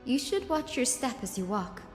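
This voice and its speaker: An actress voicing a gentle, polite young woman who guides and reassures the party in a game. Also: a little high, expressive, moderate pace, lightly acted. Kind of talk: game voice acting. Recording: roomy reverb with game music.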